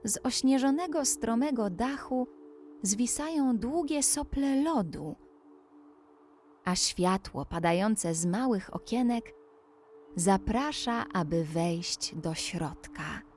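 A woman narrating a scripted children's bedtime story, speaking deliberately and addressing the young listener directly. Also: fairly high pitch, animated, a little mellow, a little hiss or hum. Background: music.

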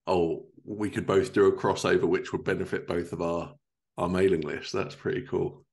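A man's voice: low voice, fairly even intonation, normal speed, gravelly. A man in his thirties chatting casually as a podcast host on a video call from home. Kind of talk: casual interview chat. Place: living room at home, indoors, on a video call.

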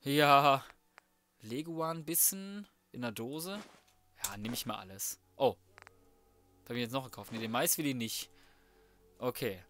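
A man's voice: mid-range voice, measured pace, pauses often, sharp tone, soft background music. A man giving casual let's play commentary, thinking aloud in slang with some swearing. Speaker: gaming video host.

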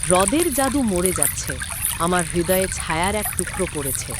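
A man's voice: high-pitched, big pitch swings, moderate pace. A man voicing a sad silver magic fish with a fading heart in a children's cartoon. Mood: sad, pleading. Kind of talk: children's cartoon character dialogue. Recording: loud watery noise behind.

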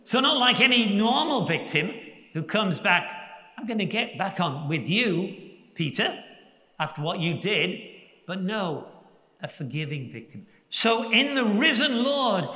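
An older man, a priest giving a Sunday homily in a large church, preaching slowly and reflectively with emphatic pauses. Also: high-pitched, animated, bright, forceful tone, muffled recording. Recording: echoey church with long reverb.

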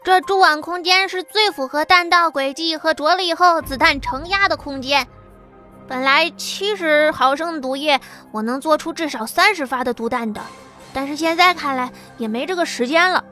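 A woman voicing a boy who builds weapons, speaking briskly and matter-of-factly. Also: sits high, bright, forceful tone, soft background music, pitched above the performer's own voice. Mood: businesslike. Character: boy, clever young weapon maker.

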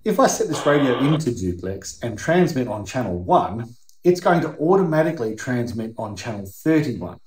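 A man's voice: medium pitch, big pitch swings, moderate pace, a little mellow, slight background noise. A middle-aged man with an Australian accent hosting an explanatory video in a conversational, emphatic teaching manner. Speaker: host. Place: workshop or garage, indoors. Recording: roomy, echoing indoor sound.

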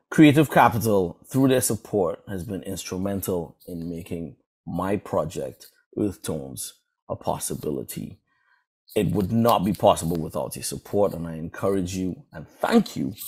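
Low, husky man giving an upbeat message. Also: darkish tone. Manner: upbeat, encouraging. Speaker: artist and grant awardee. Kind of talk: direct video message to peers.

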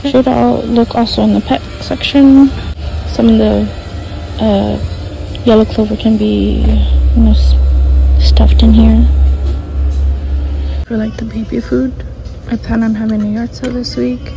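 A woman filming herself shopping on her phone, narrating finds in a hushed, half-whispered voice as she walks the aisles. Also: a little high, flat delivery, unhurried, vocal fry, long pauses, noisy background. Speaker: shopping vlogger. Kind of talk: casual shop-along narration. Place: inside a discount store aisle. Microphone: phone held in hand.

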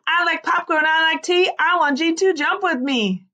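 A woman's voice: very high voice, moderate pace, sharp tone, voice pushed up. A woman voicing a tiny, sassy, cheerful new schoolgirl in a children's storybook, in a playful singsong chant.

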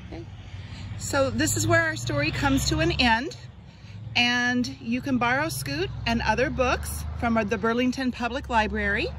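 A woman hosting an outdoor read-aloud for children, speaking to them with deliberate, expressive enunciation. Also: a little high. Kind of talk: children's story read-aloud and friendly outdoor talk to kids. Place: outdoors on a grassy trail. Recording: outdoor ambient noise.

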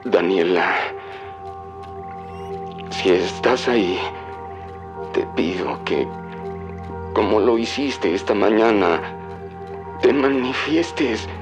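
An actor playing an obsessive father in an audio drama, speaking solemnly and deliberately as he records a summons. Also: lowish voice, over music. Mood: solemn, intent. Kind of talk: audio drama acting, taped log.

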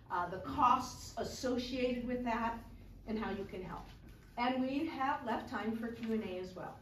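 A woman in her sixties, a retired librarian, giving an animated public talk in a community hall. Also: a little high, a little hiss or hum. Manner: lively, animated storytelling reading. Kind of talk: public talk reading aloud. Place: large community hall, indoors. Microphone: far camera. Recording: roomy hall recorded from far off.

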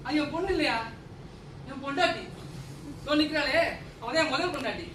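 A man's voice: extremely high pitch, expressive, brisk. A man acting in a comic stage play as a pompous, nitpicking householder, scolding and exasperated. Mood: smug, dismissive. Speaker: stage actor. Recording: distant mics, echoey hall, noisy.

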